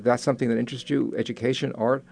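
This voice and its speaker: A man's voice: medium pitch, little pitch movement, very fast, gravelly, a little mellow. A man hosting a radio interview show, asking chatty questions with some hesitations.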